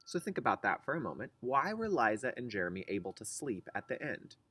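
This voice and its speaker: A man's voice: mid-range voice, sing-song, brisk, sharp tone, husky. A man teaching young children through a read-aloud lesson, explaining in simple, instructive phrases and posing questions to them. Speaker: kindergarten teacher.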